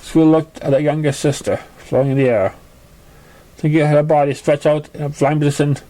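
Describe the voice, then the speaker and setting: A man's voice: on the high side, fairly even intonation, fairly quick, warm tone, low-quality recording. A man narrating a scripted story, sometimes stumbling over the words as he reads. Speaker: fan fiction reader.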